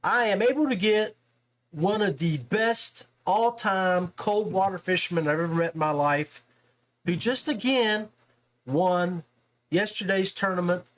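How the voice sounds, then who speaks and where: A man's voice: high voice, a little slow, fairly bright tone, rough recording. A man hosting a casual sports podcast interview, talking in a chummy, familiar way with guest and listeners.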